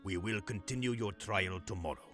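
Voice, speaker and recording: Cold, deep-voiced studio boss. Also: male voice, moderate pace, fairly bright tone, faint music behind. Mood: dismissive. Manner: clipped, formal, dismissive. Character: cold, curt male radio studio boss. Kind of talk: audio drama acting.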